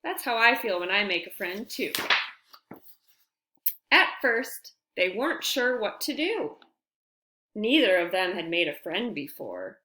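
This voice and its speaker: Slow, animated woman reading a storybook aloud. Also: mid-range voice, reverberant. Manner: deliberate, animated phrasing with friendly asides to listeners. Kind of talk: expressive read-aloud storytelling for young children.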